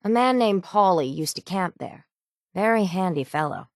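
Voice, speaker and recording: Low-voiced, resolute seafaring woman. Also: animated, moderate pace, dry recording, studio quality. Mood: businesslike. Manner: salty, old-fashioned folksy seafaring phrasing. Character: weathered, determined harbor seafarer woman, gruff and dutiful. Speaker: video game voice actor. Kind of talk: video game character dialogue.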